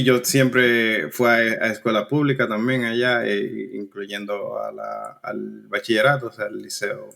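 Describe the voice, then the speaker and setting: Young Dominican guy, lowish voice, relaxed and halting interview guest. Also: creaky. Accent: Dominican Spanish. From Dominican Republic. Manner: relaxed, halting, frequent restarts and fillers. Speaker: guest engineer. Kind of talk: casual conversational interview.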